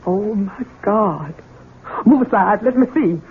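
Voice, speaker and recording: Anxious american farm ma, raspy and very up and down, folksy, tinny old radio recording. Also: female voice, medium pitch, lively intonation, slow pace, heavily acted. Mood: alarmed, grieving. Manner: folksy, imploring. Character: anxious, protective rural mother of a grown son. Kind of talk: 1970s American radio drama acting. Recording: tinny band-limited old radio broadcast.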